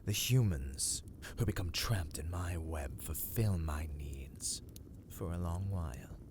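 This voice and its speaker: A man playing a brooding, world-weary vampire in a slow, dark ASMR roleplay, theatrical and often slipping into breathy whispers. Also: sits low, lively intonation, noisy background. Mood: ominous, resigned. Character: brooding, world-weary male vampire, cursed castle master.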